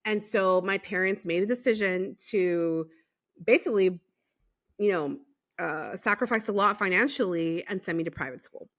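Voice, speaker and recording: A woman's voice: medium pitch, moderate pace, a bit bright. A woman from Los Angeles hosting a podcast, chatting animatedly with lots of fillers and laughter. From Los Angeles, USA. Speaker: podcast host. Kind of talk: casual interview chat.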